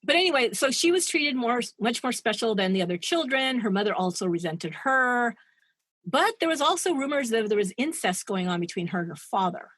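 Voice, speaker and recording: A woman's voice: fairly high pitch, sing-song, brisk, a bit bright, dry recording. A woman co-hosting a podcast, retelling a true-crime story in a chatty, digressive way and laughing at her own asides. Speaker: podcast co-host.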